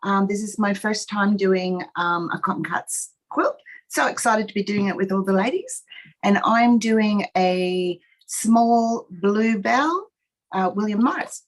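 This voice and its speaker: A woman's voice: medium pitch, unhurried, rough recording. Woman, Australian accent, friendly, hesitant, video call. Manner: friendly, a little hesitant with fillers. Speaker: sew-along participant. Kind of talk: casual self-introduction. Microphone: video call mic.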